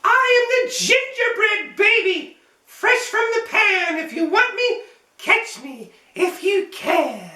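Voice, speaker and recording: A man's voice: very high-pitched, big pitch swings, slow pace, sharp tone, voice pushed up, full character voice. A man voicing a cheeky, boastful little gingerbread baby from a children's storybook in a taunting sing-song. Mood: gleeful taunting. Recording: roomy echo, mic at some distance.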